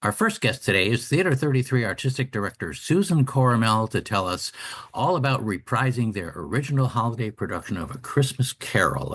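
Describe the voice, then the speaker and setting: A man's voice: on the low side, a bit bright, slightly rough. A man co-hosting a radio talk show, delivering scripted announcements and interview questions.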